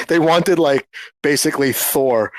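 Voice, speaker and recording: A man's voice: on the high side, slow, no room sound. Rambling New man, dry sound. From New York. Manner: rambling, hesitant, many fillers. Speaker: guest. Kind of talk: casual chat on a live audio room.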